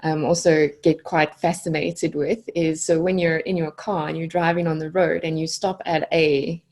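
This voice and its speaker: A woman's voice: low-pitched, moderate pace. A South African woman, a coach, chatting as a guest on a podcast, laughing often. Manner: laughs often, relaxed and friendly. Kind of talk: casual podcast interview.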